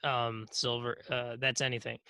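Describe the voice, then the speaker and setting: Bright-voiced man chatting on a comedy podcast. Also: mid-range voice, level tone, normal speed, clear, resonant tone, no echo. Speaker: podcast co-host. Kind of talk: casual comedic chat.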